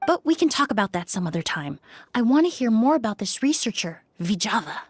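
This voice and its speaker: An actress playing a composed young woman perfumer in a video game, measured and politely probing. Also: on the high side, very animated, breathy edge.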